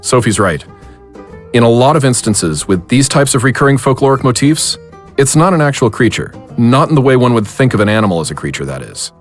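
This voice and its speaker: A man playing a calm, learned occult investigator in an audio drama, explaining things in measured, wordy sentences. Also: mid-range voice, faint music behind, lightly acted. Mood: calm, explanatory.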